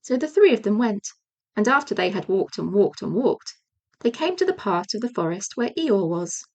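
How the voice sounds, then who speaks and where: A woman's voice: medium pitch, sing-song, normal speed. A woman narrating a children's audiobook as a scripted storyteller.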